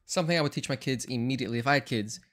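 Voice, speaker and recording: A man's voice: medium pitch, very fast, fairly bright tone. A young man streaming a game speedrun, chatting casually with viewers, rambling and often correcting himself mid-sentence. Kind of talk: casual live-stream commentary. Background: faint game music.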